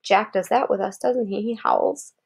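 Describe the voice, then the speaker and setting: A woman reading an article aloud as if to a child, pausing to explain words and point things out. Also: mid-range voice, creaky, darkish tone, breathy edge. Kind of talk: read-aloud narration with casual asides.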